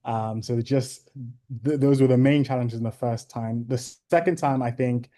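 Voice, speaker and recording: A young man from Ghana, a guest on a video call interview, answering in a measured, thoughtful way with frequent ums. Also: medium pitch, mellow.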